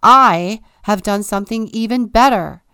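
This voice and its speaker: Woman doing a vain, booming storybook king. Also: measured pace, sharp tone, clean tone, no echo, clean studio sound. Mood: grand. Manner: booming, self-important proclamations. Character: vain pompous male king, grandly self-admiring. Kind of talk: storybook read-aloud.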